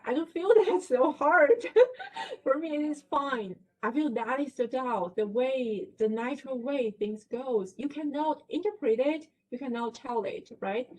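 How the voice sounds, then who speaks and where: Slow-paced Chinese-accented woman lecturing. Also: on the high side, darkish tone, poor audio. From China. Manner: explains step by step, checks in with "right?"; slips in light laughs. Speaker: guest lecturer. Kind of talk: library book talk presentation.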